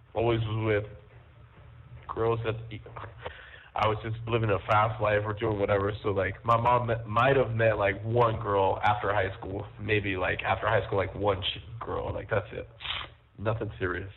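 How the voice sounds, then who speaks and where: A man in an intimate, casual phone call, talking haltingly and repeating his phrases, on a tinny jail phone line. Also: lowish voice. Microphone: telephone handset.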